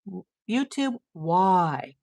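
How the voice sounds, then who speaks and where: A woman's voice: lowish voice, animated, very slow, a little mellow. A middle-aged woman hosting a casual livestream, chatting and reading viewer comments while losing her voice. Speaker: livestream host.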